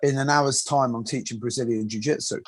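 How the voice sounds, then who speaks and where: A man's voice: mid-range voice, fairly even intonation, a little fast, crowd noise. A man hosting an interview, chatty and self-deprecating, often repeating words as he talks. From England. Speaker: host and martial arts instructor. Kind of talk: casual interview chat.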